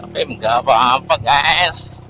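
Slow-talking motovlogger on a moving bike. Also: male voice, mid-range voice, bright, forceful tone. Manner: chatty, keeps saying "guys" to viewers. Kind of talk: casual narration while riding. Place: riding a motorcycle on rural roads outdoors. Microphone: helmet-mounted action camera. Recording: road and engine noise.

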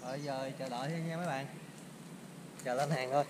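A man's voice: medium pitch, fast, heavy background noise. A young man with a Southern Vietnamese accent, giving casual fishing commentary by a lake and calling out instructions to a friend. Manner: excited, shouting instructions to a friend. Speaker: fishing vlogger. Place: outdoors by a forest lake.

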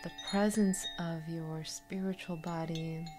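Very slow, low-voiced woman guiding meditation. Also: steady tone, music in the background. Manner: soothing, unhurried, with long pauses. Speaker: meditation guide. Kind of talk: guided meditation narration with slow instructions. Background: singing bowls and chimes.

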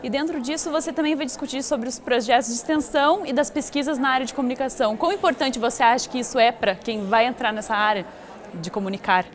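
A woman's voice: high voice, quick pace. A woman in her twenties with a Brazilian Portuguese accent, presenting and asking prepared interview questions. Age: 20s. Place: glass-walled lobby set, seated interview.

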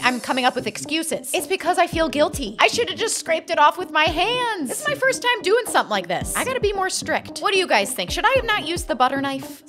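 A woman in her thirties with a high, very lively voice that swoops up and down as she talks through her baking. She is chatty and self-deprecating and often laughs at herself. Her voice is recorded close on a studio microphone, with light music playing behind it. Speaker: cake artist host. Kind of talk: casual voice-over tutorial narration. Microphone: studio microphone with headphones.

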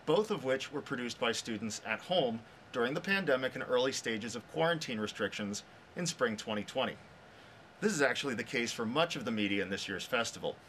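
A man's voice: medium pitch, a bit bright. Middle aged guy hosting awards from a control room, voice swings around, bit muffled by a mask, some room noise. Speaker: professor, festival director and presenter. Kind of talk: scripted awards show presentation.

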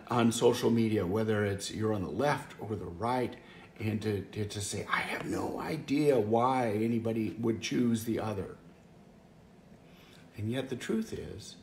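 Man in his fifties, pastor, earnest conversational devotional, gravelly voice, roomy living-room echo. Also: mid-range voice, darkish tone. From Wisconsin, US. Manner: earnest, conversational, frequent restarts. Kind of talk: home devotional talk. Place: living room by a fireplace, indoors. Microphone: camera or phone close up, seated. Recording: echoey room, faint background hiss.

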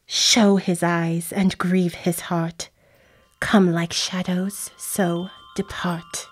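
A sinister witch, played by a woman, casting spells in a lowish voice. She is slow, deliberate and commanding, in classical radio-play style. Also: flat delivery. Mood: sinister, chanting. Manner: deliberate, incantatory. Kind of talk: Shakespearean radio drama acting.

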